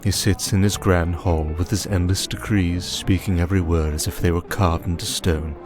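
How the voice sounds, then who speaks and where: A man playing a scheming, refined vampire archduke in an ASMR audio drama, speaking in a measured, formal, condescending way. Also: low voice, fairly even intonation, lightly acted. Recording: music bed under the voice.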